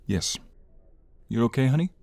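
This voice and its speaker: A man's voice: medium pitch, expressive, normal speed, creaky, darkish tone, no echo. A man playing a devoted mobster and expectant father in a romantic audio roleplay, speaking tenderly with some stammering. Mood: warm, composed.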